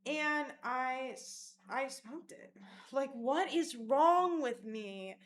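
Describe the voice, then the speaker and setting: A woman's voice: high voice, very slow. Crude, rambling woman vlogger. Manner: crude, profane, rambling storyteller; self-mocking and animated, bursts of loud laughter. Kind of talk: casual storytelling vlog.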